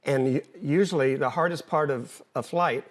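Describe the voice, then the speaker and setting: Plain-spoken older man explaining haltingly. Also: medium pitch. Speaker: interview guest, former air traffic controller and pilot. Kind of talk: conversational explanation.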